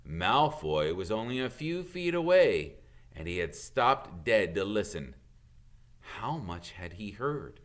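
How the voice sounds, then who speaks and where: A man reading a children's story aloud as an expressive narrator, like a teacher reading to pupils. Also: lowish voice, slow.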